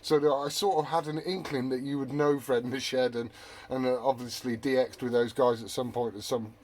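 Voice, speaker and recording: A man's voice: medium pitch, steady tone, fairly quick, darkish tone, slight background noise. A man chatting casually as a hobby radio operator, rambling and often correcting himself mid-thought.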